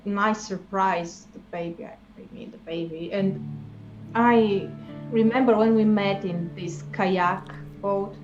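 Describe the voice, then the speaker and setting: A woman playing a wistful, awkward girlfriend in an improvised comedy breakup scene, speaking haltingly with stammers. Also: mid-range voice, fairly even intonation, close to an everyday voice. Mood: wistful, hurt. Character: wistful, awkward pregnant girlfriend being left, passively sarcastic. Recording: dull lo-fi sound, music behind.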